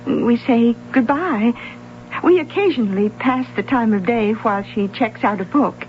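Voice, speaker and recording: An American actress playing a polite, guarded librarian in an old-time radio drama, speaking with careful hesitation. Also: female voice, a little high, moderate pace, close to an everyday voice. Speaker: actor. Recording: dull, noisy old radio recording with hum.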